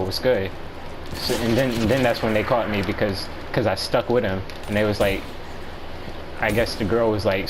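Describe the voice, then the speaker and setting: An American man chatting casually as he tells a story, with slangy, profane and halting delivery. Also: lowish voice, background noise. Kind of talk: casual storytelling chat among friends.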